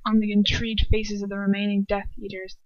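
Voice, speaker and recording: An amateur woman narrator reads a story aloud at a middle pitch, sometimes stumbling and repeating herself. The recording is dry, with a slightly dull, lo-fi sound. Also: completely flat delivery, fairly quick, no room sound. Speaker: amateur fan fiction reader. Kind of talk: narrating a story in first person.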